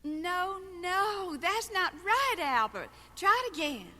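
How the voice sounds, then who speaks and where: A woman voicing a sweet, patient little girl puppet, gentle and childlike, on a children's puppet show record. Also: extremely high pitch, big pitch swings, slow pace, full character voice.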